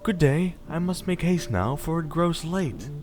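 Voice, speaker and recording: A man doing a sweet little girl's high voice. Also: expressive, a little slow, music in the background. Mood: cheerful. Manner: high and bright, far above his own voice. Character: sweet, kind little girl.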